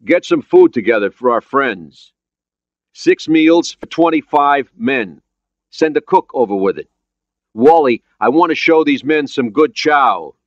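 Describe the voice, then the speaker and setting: A male narrator acts out a self-assured American submarine commander in a thriller audiobook. He speaks in brisk, plain military style with an animated pitch, close and dry in a slightly dull recording. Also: dry recording. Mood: brisk, confident.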